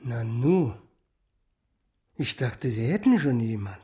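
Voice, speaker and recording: An actor playing a shrewd, miserly, powerful financier in a German radio drama, brisk and drily courteous as he haggles. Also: mid-range voice, big pitch swings, mellow, husky, full character voice. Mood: sly, courteous.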